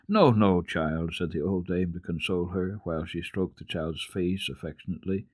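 Male children's radio narrator, lowish pitch, close dry sound, dramatic storybook reading. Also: level tone, brisk, breathy edge, dry recording.

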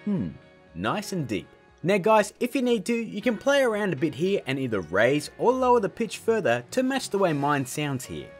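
A man's voice: high-pitched, wildly swooping pitch, normal speed, faint music behind. A man in his thirties hosting a casual scripted tutorial in a jokey, emphatic, theatrical way. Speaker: tutorial host.

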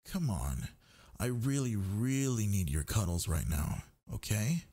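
Man acting low, husky, needy boyfriend. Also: big pitch swings, warm tone, exaggerated voice. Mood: pleading, coaxing. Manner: coaxing, murmuring, drawn-out words. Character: sleepy, needy, teasing gamer boyfriend, young man. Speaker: audio roleplay performer. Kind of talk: intimate boyfriend audio roleplay.

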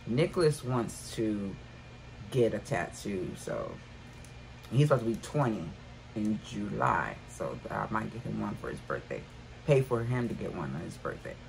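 Chatty woman in her fifties, gravelly. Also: a bass voice, sing-song, measured pace, darkish tone, a little hiss or hum, low-quality recording. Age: 50s. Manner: chatty, addresses viewers as y'all. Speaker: haul vlogger. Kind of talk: casual haul chat. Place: bedroom at home.

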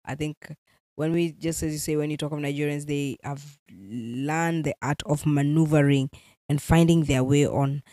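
A woman's voice: low-pitched, normal speed, dry recording, studio quality. A young Kenyan woman with a Kenyan accent, a gospel musician and native Swahili speaker, talking animatedly as an interview guest. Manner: animated, mixing in Swahili phrases. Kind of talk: casual interview.

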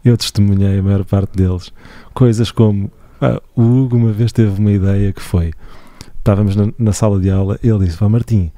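A man's voice: fairly low pitch, fairly even intonation, moderate pace, warm tone, no echo. A young man with a European Portuguese accent, co-hosting a casual podcast, laughing often and restarting his sentences. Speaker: podcast co-host.

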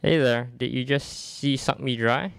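Young guy as a easygoing vampire fan in a radio play, clean smooth voice, close dry sound. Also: medium pitch, measured pace, fairly bright tone, no room sound. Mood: breezy, keen. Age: young adult. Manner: casual, read from script. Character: eager young male vampire fan, breezy best friend. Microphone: microphone on boom stand.

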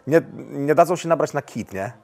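A man's voice: fairly high pitch, lively intonation, normal speed, darkish tone. A man in his forties, a football coach, answering interview questions in a lounge, often repeating words and restarting his sentences. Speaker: national women's team coach. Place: lounge with armchairs, indoors.

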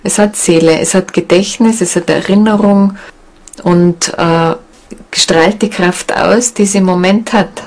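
A woman speaking informally in an interview, reflective, with halting phrasing. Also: fairly low pitch, fairly even intonation, a little mellow. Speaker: shamanic seminar leader, interviewee.

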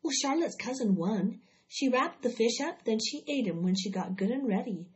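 A woman's voice: a little high, expressive, moderate pace, pitched above the performer's own voice, close to an everyday voice. A woman reading aloud, voicing an eager, imaginative little farm girl who talks earnestly and insistently. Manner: earnest, insistent, breathless storytelling. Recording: dull lo-fi recording.

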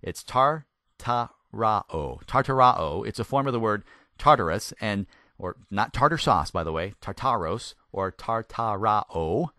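A man, a native English speaker, gives a conversational Bible teaching, putting questions to the listener and stressing words deliberately. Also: on the low side, lively intonation, bright, forceful tone, dry recording, studio quality. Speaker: Bible teacher, former pastor. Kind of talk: conversational sermon, Bible teaching with scripture reading.

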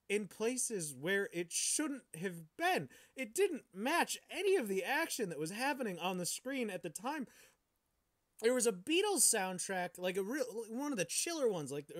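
A man chats casually as a podcast co-host, with very lively swings in pitch. He banters in an excitable way and swears freely. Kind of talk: casual chat.

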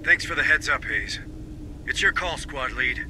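A man's voice: on the high side, measured pace, bright, forceful tone. An actor playing a marine sergeant in a video game, commanding his squad with dry humor. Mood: wry, commanding. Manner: commanding, dryly joking. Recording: faint background noise.

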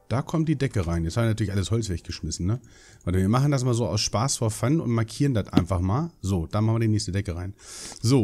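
A man's voice: fairly low pitch, mellow. A young man with a cold, chatting casually and rambling as he hosts a Minecraft let's play, swearing now and then. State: hoarse from a cold. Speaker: gaming channel host.

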